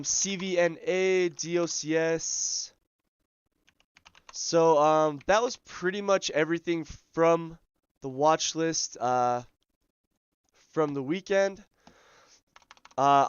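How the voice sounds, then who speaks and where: A man hosting a live day-trading stream, his voice scratchy as he loses it, talking through charts in a relaxed way full of ums and uhs. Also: fairly high pitch, measured pace, pauses often, clean tone, no room sound, highs cut off. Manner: relaxed, filler-heavy, thinking aloud. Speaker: day trading livestream host. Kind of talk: live market commentary.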